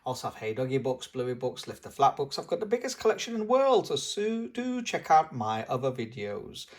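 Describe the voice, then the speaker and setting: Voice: medium pitch, very animated, brisk. A host reading a picture book aloud expressively for young children. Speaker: storybook channel host. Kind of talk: children's read-aloud narration.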